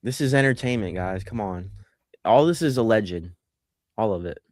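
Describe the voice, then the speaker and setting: A man's voice: lowish voice, expressive, normal speed, darkish tone, no echo, clean studio sound. A man co-hosting a sports podcast, joking and teasing his friends in casual banter. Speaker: podcast co-host.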